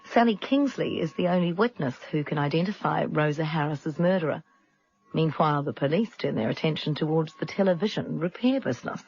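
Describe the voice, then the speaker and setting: A man announces a radio drama in a formal, scripted style. His voice is fairly high and husky, on a slightly muffled recording. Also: lively intonation, brisk, a little mellow.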